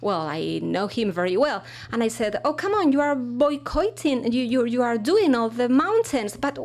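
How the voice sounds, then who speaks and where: A woman giving a live spoken interpretation of a keynote talk, halting and full of fillers. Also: fairly high pitch, expressive, darkish tone. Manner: halting, with fillers and frequent restarts. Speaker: simultaneous interpreter.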